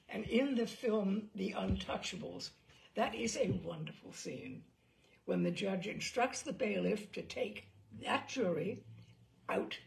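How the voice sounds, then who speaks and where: A woman reading a scripted history narration aloud as a storyteller, with measured, deliberate phrasing. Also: medium pitch, creaky, poor audio. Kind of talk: scripted narration of true crime history, with occasional asides.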